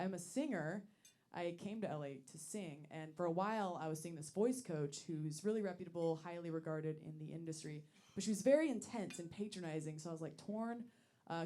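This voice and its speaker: Deadpan woman comic with a deep voice. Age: late 20s. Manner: deadpan, self-deprecating, with many ums. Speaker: stand-up comedian. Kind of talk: stand-up set. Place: comedy club stage.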